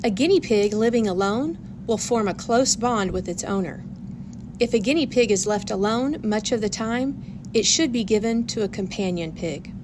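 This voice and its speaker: A woman's voice: fairly high pitch, noisy background. A woman reading a children's storybook aloud, with careful storyteller phrasing meant for young listeners. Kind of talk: animated read-aloud storybook narration.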